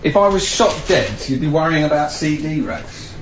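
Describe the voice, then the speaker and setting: An actor playing an earnest, harried young British council housing officer in a naturalistic radio drama. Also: male voice, on the high side, big pitch swings, normal speed. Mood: wry, weary. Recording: muffled radio recording, noisy ambience.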